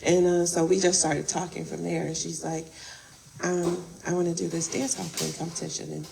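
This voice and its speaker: A Chicago woman speaks as an interview guest in a lowish, gravelly voice full of vocal fry. She chats casually, laughs often and quotes what she said back then. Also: little pitch movement, normal speed, noisy background. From Chicago, born in Arkansas. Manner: laughing, chatty, quotes herself. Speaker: interview guest, event co-producer.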